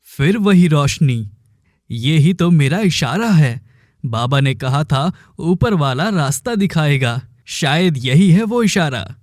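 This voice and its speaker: Man playing slow, high-voiced determined young brother. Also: expressive, no room sound, studio quality, soft background music. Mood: searching, uncertain. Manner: dramatic, vowing, deliberate. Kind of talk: cartoon story acting.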